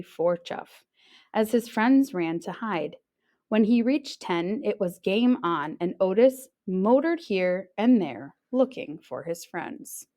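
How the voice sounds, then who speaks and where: A woman narrates a children's storybook with very animated, swooping intonation. Her voice sits at a medium pitch, and she reads expressively like a teacher, playing up the little sound words. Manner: expressive, acting out sounds and exclamations. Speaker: teacher reading a picture book aloud.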